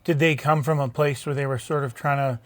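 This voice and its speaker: A man hosting a live talk show interview, chatty and halting, with many restarts as he looks for words. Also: medium pitch, steady tone, no room sound. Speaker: host. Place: theatre stage, live show.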